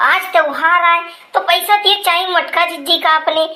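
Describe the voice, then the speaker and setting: A woman's voice: very high-pitched, normal speed, pushed and bright, echoey room. A woman playing a sassy, pouty village gossip in a comic Awadhi cartoon, teasing and complaining in rustic chatter. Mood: gossipy, indignant.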